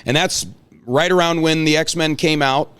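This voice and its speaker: A man's voice: a little high, steady tone, unhurried, fairly bright tone, clear, resonant tone. A man in his forties hosting a casual interview, talking informally with slang and rambling asides. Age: 40s. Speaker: host.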